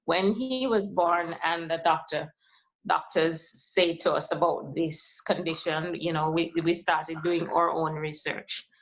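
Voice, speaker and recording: Jamaican-born woman guest, thirties, low-pitched, unhurried halting chat, dull lo-fi recording. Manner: soft-spoken, hesitant, many fillers. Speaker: musician, guest. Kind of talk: casual interview talk. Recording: lo-fi muffled audio.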